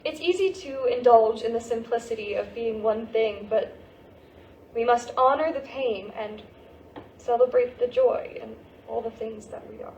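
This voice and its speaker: A woman's voice: on the high side, unhurried, darkish tone. A woman playing a grieving, searching American teenage girl in an emotional monologue at a staged play reading. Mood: tender, resolved. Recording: echoey stage recording with faint noise.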